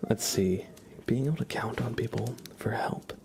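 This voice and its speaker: A man speaking in a soft, breathy half-whisper, gently and unhurriedly, as an ASMR livestream host close to the microphone. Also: medium pitch, moderate pace, background noise.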